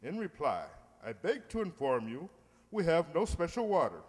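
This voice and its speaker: An actor playing a pompous, fussy middle-aged uncle in an old-time radio comedy. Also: male voice, fairly high pitch, sing-song, normal speed, breathy edge. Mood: flustered. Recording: echoey hall stage recording.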